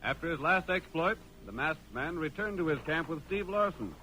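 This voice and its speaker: A man's voice: fairly high pitch, animated, moderate pace, pushed and bright, slight background noise, low-quality recording. A man narrating a western adventure in the style of a 1940s radio drama.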